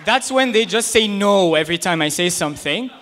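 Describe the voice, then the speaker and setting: Guy with a high voice doing stand-up into a handheld mic, chatty and self-mocking. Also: lively intonation, fairly quick, a bit bright. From United States. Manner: conversational, self-deprecating storytelling. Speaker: stand-up comedian. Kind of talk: stand-up comedy set. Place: small comedy club stage, indoors. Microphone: handheld stage microphone.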